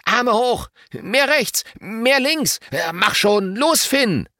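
Man voicing a bossy, sulky boy, pitched high. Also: lively intonation, drawn-out words, sharp tone, no echo, studio quality, exaggerated voice. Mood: shouting orders. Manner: barking, scornful. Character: bossy, sulky young boy shouting orders.

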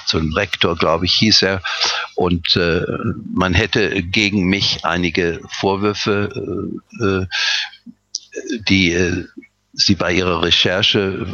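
Deep, husky older man, hesitant. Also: bright, forceful tone. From Westphalia, Germany. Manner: hesitant, with frequent "äh" fillers and restarts. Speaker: person telling his life story. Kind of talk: personal recollection, unscripted. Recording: slightly dull lo-fi recording.

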